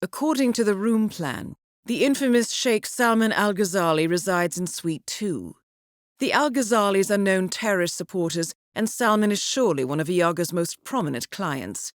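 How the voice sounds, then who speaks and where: A woman's voice: mid-range voice, dry recording, close to an everyday voice. A woman playing a composed intelligence handler in a video game, briefing an assassin formally and with dry wit. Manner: composed, formal, dryly witty. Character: poised female intelligence handler briefing an assassin, cool and wry. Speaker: mission handler.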